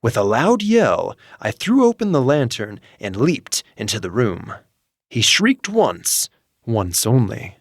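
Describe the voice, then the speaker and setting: A man narrating a dark story in a dramatic, slow reading, dropping to hushed murmurs and rising to sudden intense outbursts. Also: medium pitch, sing-song, no echo, studio quality. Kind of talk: dramatic audiobook reading for sleep.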